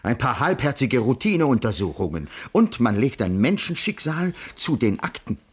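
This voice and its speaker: Husky, pedantic middle-aged schoolmaster. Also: male voice, medium pitch, sing-song, normal speed. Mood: indignant. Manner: formal, stilted, indignant diction. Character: pedantic, earnest middle-aged French ethics teacher. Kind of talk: 1980s German radio crime play acting.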